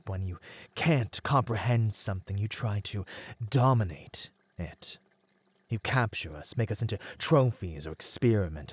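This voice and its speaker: A man's voice: fairly low pitch, no room sound, natural voice. A man voicing a proud, bitter, melancholy captive merman in an ASMR roleplay, speaking in formal, eloquent phrases. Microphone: close studio mic, dry.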